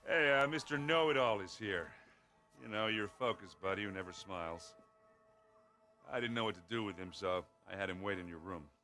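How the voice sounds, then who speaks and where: A forceful, low-voiced awkward buddy. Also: male voice, moderate pace, faint music behind. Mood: sheepish, joking. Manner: casual, hesitant, joking. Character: awkward, good-natured male warrior buddy, casually joking. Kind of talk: naturalistic game acting.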